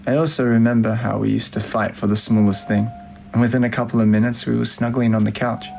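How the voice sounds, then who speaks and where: An actor plays a heartbroken young man narrating his unsent love letters for a film. His voice sits at a medium pitch with a lot of gravelly fry. He speaks plainly and wistfully, as if confiding, with faint music underneath. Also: level tone, quick pace, a little mellow, close to an everyday voice. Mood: tender, nostalgic.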